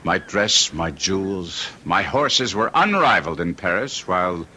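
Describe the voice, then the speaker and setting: A low-voiced young nobleman, ruined and desperate, pours out his story in a radio play. His formal, melodramatic delivery swings widely in pitch, with a bright forceful edge on a noisy old recording. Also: big pitch swings, measured pace, full character voice. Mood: bitter remorse. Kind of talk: old-time radio drama acting.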